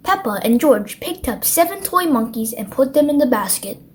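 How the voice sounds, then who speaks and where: A woman reading a children's bedtime storybook aloud as narrator, with expressive delivery. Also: sits high, moderate pace, slight background noise.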